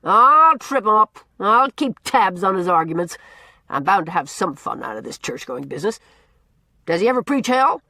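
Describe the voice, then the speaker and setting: A woman voicing a blustering, gruff old man who booms out orders and repeats himself as he teases. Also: big pitch swings, moderate pace, bright, forceful tone, no echo, exaggerated voice. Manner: booming, repeats himself, teasing.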